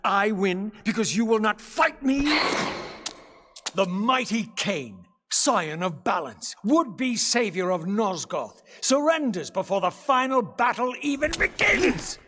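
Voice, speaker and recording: An actor playing an embittered undead wraith in a video game, defiant and sardonic, with biting formal diction. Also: male voice, high voice, very animated, slow pace, sharp tone, exaggerated voice. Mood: mocking, challenging. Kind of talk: dramatic video game cutscene acting.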